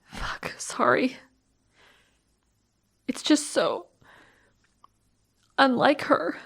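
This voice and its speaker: An actress playing a tender, shaken high school girl, halting and emotional in a naturalistic audio drama. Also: sing-song, vocal fry, fairly bright tone, slightly rough, heavily acted. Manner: halting, emotional, breaking into whispers.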